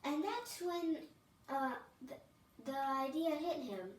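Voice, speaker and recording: A child with a high voice reads a storybook aloud, drawing words out expressively and adding asides. The pace is slow, and the recording is echoey, picked up from a distance. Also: expressive. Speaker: storybook reader for a channel. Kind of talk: reading a picture book aloud with asides. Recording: distant mic, roomy echo, faint noise.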